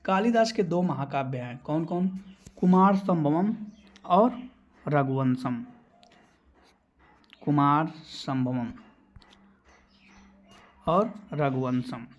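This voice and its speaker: A man's voice: a little high, animated, slow, pauses often, lo-fi sound. A man teaching exam questions, explaining answers and repeating key terms so they stick.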